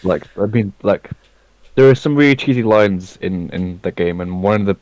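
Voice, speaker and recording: A man with a fairly low voice, chatting casually as a podcast co-host. He rambles with plenty of ums and restarts, close to the mic in a dry, dead room. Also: moderate pace, darkish tone, no echo, rough recording. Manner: rambling, many fillers and restarts. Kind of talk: casual chat.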